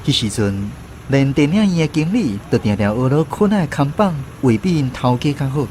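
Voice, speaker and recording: A man's voice: mid-range voice, lively intonation, moderate pace, a little mellow, a little hiss or hum, lightly acted. A man with a Taiwanese accent playing a reflective storyteller who narrates a radio drama. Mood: reflective narration. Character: reflective male storyteller recalling apprentice days. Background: guitar music.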